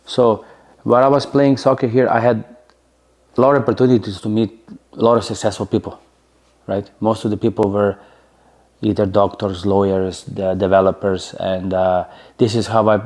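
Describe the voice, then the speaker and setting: A man in his forties speaks English with a Slovenian accent in a fairly low voice. He talks loosely and chattily in an interview, with fillers and frequent tag questions. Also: flat delivery, normal speed, darkish tone. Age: 40s. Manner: chatty, many fillers, keeps asking "right?". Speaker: interview guest, former soccer player. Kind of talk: casual interview storytelling.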